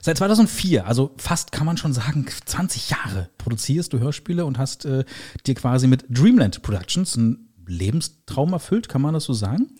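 A man's voice: medium pitch, sing-song, normal speed, mellow, slightly rough. A man hosting a podcast in a conversational style. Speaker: podcast host.

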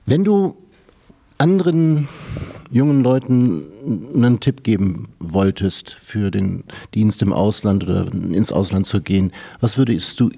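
Guy interviewer, lowish gravelly voice, casual question. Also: expressive, measured pace, dark tone.

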